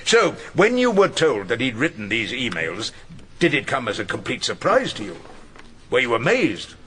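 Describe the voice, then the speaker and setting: An actor playing a wry, irascible veteran English barrister, narrating and questioning witnesses in a dry, theatrical radio drama manner. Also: male voice, mid-range voice, huge pitch swings, normal speed, a bit bright, a little hiss or hum. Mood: probing.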